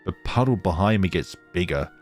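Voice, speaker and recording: A man reading a horror visual novel aloud in a theatrical, halting way, with casual asides. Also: low-pitched, expressive, darkish tone, faint music behind.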